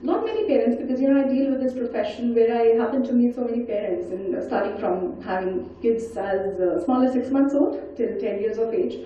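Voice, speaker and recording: A woman giving an interactive storytelling talk, animated and coaxing as she puts questions and instructions to her listeners. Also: fairly high pitch, fairly quick, hardly any pauses. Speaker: storyteller and speaker leading an audience activity. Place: large indoor event hall. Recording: very echoey hall, distant mic, dull sound.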